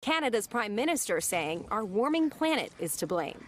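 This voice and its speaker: A female news correspondent narrating a scripted report in a crisp manner. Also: mid-range voice, sing-song, moderate pace.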